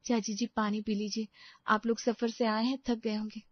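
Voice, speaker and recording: A woman's voice: on the high side, flat delivery, normal speed, no room sound, highs cut off, close to an everyday voice. A woman playing a composed, practical young daughter-in-law who speaks politely to elders in a Hindi cartoon story. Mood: concerned.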